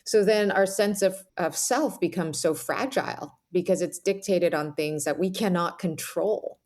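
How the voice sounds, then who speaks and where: Lower-pitched American woman, earnest and explanatory. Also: unhurried, darkish tone. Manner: earnest, explanatory, often checks in with "right?" and "you know". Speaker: podcast guest, author. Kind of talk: reflective interview conversation.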